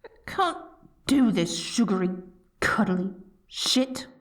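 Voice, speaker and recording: A woman's voice: medium pitch, very animated, takes it slowly, no room sound, studio quality. A woman playing a haughty, dorky evil queen in a scripted ASMR roleplay, swinging between grandiose proclamations and flustered stammering. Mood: dramatic, nervous. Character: dorky, theatrical evil queen, haughty yet secretly smitten.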